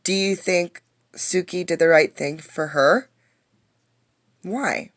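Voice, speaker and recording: A woman reading a picture book aloud as a teacher, expressively, pausing to put questions to young listeners. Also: lowish voice, no echo, clean studio sound. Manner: expressive storytelling, addressing young listeners directly. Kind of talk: interactive children's read-aloud with questions.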